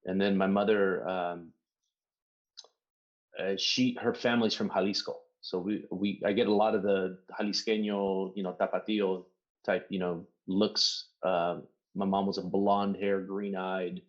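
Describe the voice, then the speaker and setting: A middle-aged man from Southern California, Spanish his first language, talks in a low register through a dull, lo-fi recording. He tells stories loosely, acting out what others said and swearing freely in a casual podcast chat. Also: level tone, measured pace. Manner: swears freely, storytelling with mock quotes. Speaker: podcast guest.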